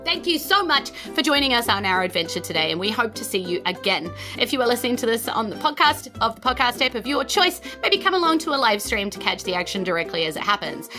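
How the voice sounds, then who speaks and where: A woman's voice: a little high, sing-song, fast talker, hardly any pauses, music in the background. A woman with a New Zealand accent, game master and podcast host, narrating and addressing listeners. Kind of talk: game narration and scripted-casual show sign-off.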